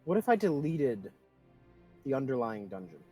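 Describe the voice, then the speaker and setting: A man's voice: medium pitch, sing-song, moderate pace, dark tone, slightly rough. A man chatting casually as a player on a tabletop game livestream. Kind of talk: casual chat. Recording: music behind the voice. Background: background music.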